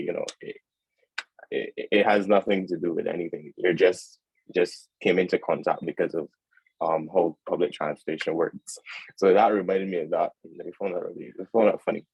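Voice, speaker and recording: Male panel moderator, deep raspy voice, dry, chatty and friendly. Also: a little mellow, no echo, poor audio. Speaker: webinar moderator. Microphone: video call.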